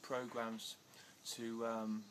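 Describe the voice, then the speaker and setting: A man's voice: medium pitch, monotone, extremely slow, noisy background. A man answering interview questions with many fillers and restarts, growing agitated as he talks. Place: outdoors in India.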